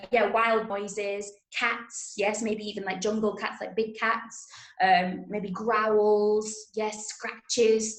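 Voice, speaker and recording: A woman from Manchester with an English accent, teaching an interactive drama workshop online in an encouraging manner. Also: mid-range voice. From Manchester, England. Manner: encouraging, praising students' answers. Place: indoors, on a video call. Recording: roomy, echoey sound.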